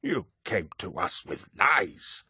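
A man voicing a frail, defiant elderly ruler with a thick antique accent and a raspy voice in an audiobook. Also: sits low, big pitch swings, slow pace, pushed and bright, no echo, clean studio sound, exaggerated voice. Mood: bitter. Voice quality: raspy and gravelly. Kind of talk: audiobook character voice.

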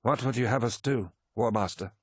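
A man voicing a deferential, flattering warrior adviser in a dramatic audiobook, eager to please. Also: medium pitch, normal speed, breathy edge, dry recording, clean studio sound, pitched above the performer's own voice, close to an everyday voice. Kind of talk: dramatic audiobook character acting.

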